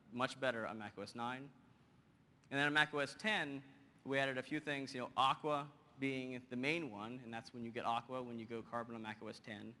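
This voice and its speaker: A man giving an energetic technical presentation with a live demo to a conference audience, often tossing in "you know" and "right?" and teasing the crowd. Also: mid-range voice. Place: large conference hall. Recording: long hall reverb, faint background hum.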